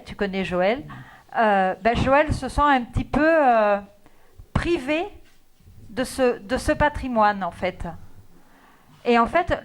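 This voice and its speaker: A woman in the audience at a public meeting asking the mayor a question, polite and hesitant as she searches for her words. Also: medium pitch. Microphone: handheld microphone.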